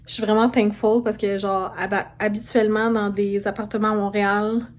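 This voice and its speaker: A woman's voice: mid-range voice, level tone, normal speed, slight background noise. A woman with a Québécois French accent chatting casually to viewers as a vlogger, informally peppering her talk with English words.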